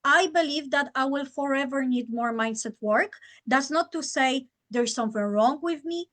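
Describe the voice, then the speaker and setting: A woman's voice: sits high, moderate pace, fairly bright tone. A woman from Poland with a Polish accent, coaching viewers on selling and mindset in a chatty, encouraging live stream full of fillers. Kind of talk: live-streamed masterclass talk.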